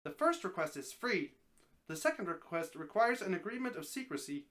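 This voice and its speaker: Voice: mid-range voice, sing-song, normal speed, fairly bright tone. A reader voicing a talking snake from a story, helpful and wry, explaining things in a matter-of-fact way. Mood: wry, instructive. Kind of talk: storybook dramatic reading.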